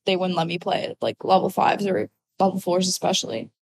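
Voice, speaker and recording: A woman in her twenties from Ukraine, speaking in a slightly low, easy voice. She answers questions casually and loosely, with plenty of fillers. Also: fairly quick, vocal fry, husky. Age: 20s. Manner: relaxed, uses many fillers like "like" and "so yeah". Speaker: guest, college athlete.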